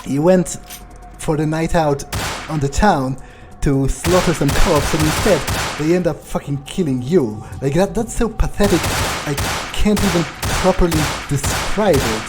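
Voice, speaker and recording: A man's voice: on the high side, a little slow, hardly any pauses, over loud music, close to an everyday voice. A man improvising in character as a cocky, callous vampire while playing a video game, drawling and scornful. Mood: mocking glee. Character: cocky, callous male vampire roleplayed by a gamer. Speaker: gamer roleplaying in a playthrough.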